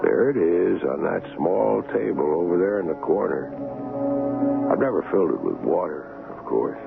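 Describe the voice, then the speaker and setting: A sceptical doctor recounting a sea tale in a 1970s radio drama. His voice is animated in pitch with noticeable creak, and his telling is measured. The broadcast is narrow and tinny, with music. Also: full character voice. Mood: reflective. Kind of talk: 1970s radio drama acting and first-person narration.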